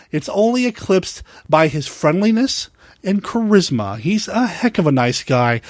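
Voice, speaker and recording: A man's voice: on the high side, no room sound. An American man hosting a podcast in a casual, enthusiastic and complimentary way, close on the microphone. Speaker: podcast host. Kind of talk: casual podcast talk on classic monster movies.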